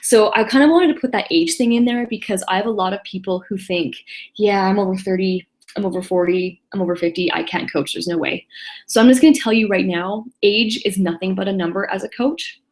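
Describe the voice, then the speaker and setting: A woman in her late thirties hosting a casual online webinar alone, chatty and self-deprecating, with quick laughs and apologies. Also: medium pitch. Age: 30s. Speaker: webinar host. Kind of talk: casual solo webinar presentation.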